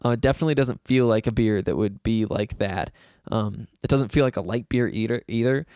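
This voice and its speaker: A young man hosting a sports podcast, rambling casually with lots of fillers, his voice a bit raspy and hoarse from yelling. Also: on the low side, brisk, no room sound, studio quality. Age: young adult. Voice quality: slightly raspy. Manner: loose, self-deprecating, meandering with fillers.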